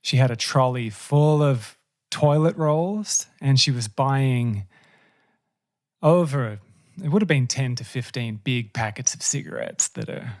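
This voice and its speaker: A man hosting a podcast, asking earnest, thoughtful questions in a relaxed conversational way. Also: mid-range voice, a little slow. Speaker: podcast host. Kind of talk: casual interview chat.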